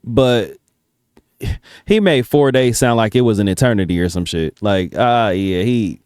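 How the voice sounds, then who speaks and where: A man's voice: lowish voice, measured pace, a little mellow, clear, resonant tone, dry recording, clean studio sound. An American man giving casual reaction commentary in colloquial, slangy speech.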